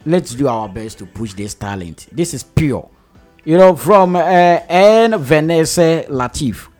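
A man's voice: a little high, measured pace, soft background music. Ghanaian man hosting a radio show. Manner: animated, advice-giving, repeats himself. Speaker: radio host. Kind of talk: casual interview chat.

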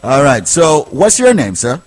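A man's voice: medium pitch, wildly swooping pitch, a little slow, faint music behind. A man hosting a radio call-in show, asking short questions and plugging a product. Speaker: radio host.

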